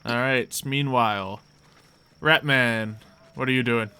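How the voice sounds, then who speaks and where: A man runs a tabletop fantasy game as game master. His voice is bright and clean, of middling pitch, and he narrates conversationally, feeling his way through scenes. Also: fairly even intonation, slow pace, smooth.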